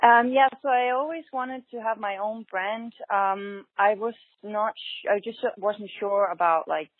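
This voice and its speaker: A woman's voice: medium pitch, unhurried, no echo. A young Danish woman with a Danish accent, answering interview questions hesitantly, with many fillers and restarts. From Denmark. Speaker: guest, fashion designer. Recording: tinny phone-line sound, dry.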